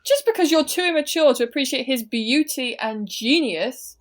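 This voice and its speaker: A woman's voice: sits high, animated, moderate pace. A young woman with an English accent playing a melodramatic eighteen-year-old girl in mourning in a student radio play, overwrought in manner. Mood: haughty. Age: young adult.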